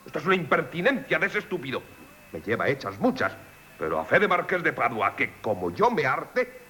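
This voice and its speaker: An actor playing a vain, effusive marquis in love in Castilian Spanish radio theatre. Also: male voice, on the high side, very animated, a little fast, bright, forceful tone, slight background noise. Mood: indignant. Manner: pompous, effusive, exclamatory. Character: boastful, gushing, jealous, vain marquis in love.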